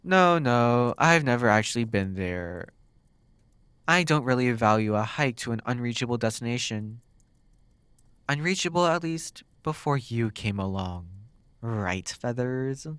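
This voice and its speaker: A man playing a flirty, sardonic demon captor in an intimate ASMR roleplay, teasing and curious, drawing words out with dramatic pauses. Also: mid-range voice, lively intonation, fairly bright tone, clear, resonant tone, no room sound. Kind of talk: ASMR roleplay, intimate one-sided dialogue.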